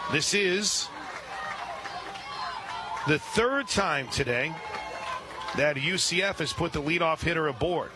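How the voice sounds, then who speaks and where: A man doing radio play-by-play of a softball game, calling pitches steadily and rising on big plays. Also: background noise. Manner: steady play-by-play with brief asides, rising when excited. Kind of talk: radio sports commentary.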